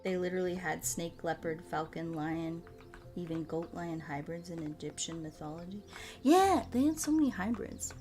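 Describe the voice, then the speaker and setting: A woman from the United States chatting casually as a streamer, animated and rambling with a salty tongue. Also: on the low side, moderate pace, faint music behind. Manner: animated rambling, frequent swearing. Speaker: streamer chatting with viewers during a puzzle game. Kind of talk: casual livestream chat.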